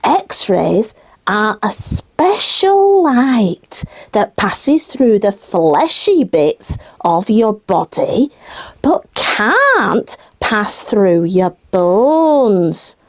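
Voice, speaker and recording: Slow, lively woman as a playful puppet sidekick. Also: medium pitch, exaggerated voice. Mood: curious explaining. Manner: teasing, playful. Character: cheeky, playful puppet sidekick co-host on a kids' show. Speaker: co-host. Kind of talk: scripted children's comedy presenting.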